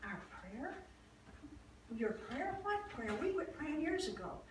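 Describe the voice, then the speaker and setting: Woman acting an excitable devout old priest's wife, echoey. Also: sits high, voice pushed up, heavily acted. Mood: astonished, awed. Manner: conversational, expressive, halting with restarts and acted-out exclamations. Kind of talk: first-person dramatized Bible monologue, storytelling. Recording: roomy echo, distant mic, faint noise.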